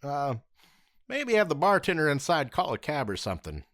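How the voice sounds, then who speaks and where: A man's voice: fairly high pitch, wildly swooping pitch, moderate pace, a bit bright, no room sound, studio quality. A man playing a polite, easygoing young male armored hero with a drawling, good-humored manner. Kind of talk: audio drama acting.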